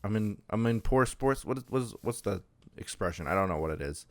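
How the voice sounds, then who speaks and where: A man's voice: on the low side, expressive, fairly quick, soft background music. A man hosting a let's-play, talking casually through a blocked-up sinus, hesitant and full of ums and restarts. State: stuffed up with a sinus infection. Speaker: Minecraft server let's-play host. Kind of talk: casual gameplay commentary.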